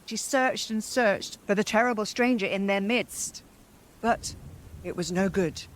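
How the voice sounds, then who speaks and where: A woman narrating a story aloud as host, in a dramatic, expressive and theatrical scripted style. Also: medium pitch, unhurried, slight background noise.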